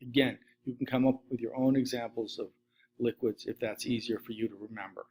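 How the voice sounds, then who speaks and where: A man's voice: medium pitch, a little fast, slightly rough. Middle-aged male teacher. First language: Latvian. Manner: steady list-reading delivery. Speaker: science teacher. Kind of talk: recorded slide lesson.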